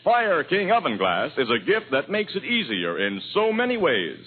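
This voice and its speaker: Male commercial announcer, raspy, swooping delivery, vintage radio style, narrow old recording, faint music. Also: on the high side, wildly swooping pitch, moderate pace.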